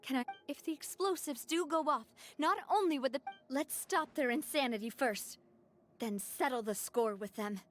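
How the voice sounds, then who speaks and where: A woman's voice: sits high, normal speed, lightly acted. An actress playing a spirited, caring young woman boss in a video game, earnest and decisive. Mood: urgent resolve. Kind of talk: dramatic video game voice acting.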